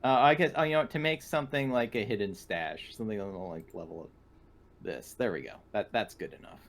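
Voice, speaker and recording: A man talking in a casual, halting way as a player in an online tabletop game. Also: fairly low pitch.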